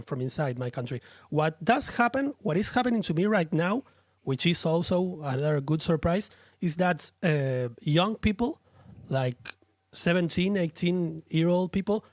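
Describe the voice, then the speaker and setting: A man's voice: a little high, dry recording, clean studio sound. A man from Argentina with an Argentinian accent, a Spanish speaker talking as a guest, short on sleep, searching for words and restarting often. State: tired from little sleep. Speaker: guest, musician and streamer. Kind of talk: casual interview chat.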